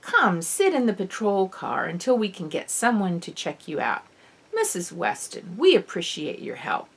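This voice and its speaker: A calm, businesslike police officer, read by a woman in an audiobook. The delivery is official and questioning. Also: fairly low pitch, moderate pace, slightly rough, exaggerated voice. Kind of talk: acted dialogue in an audiobook.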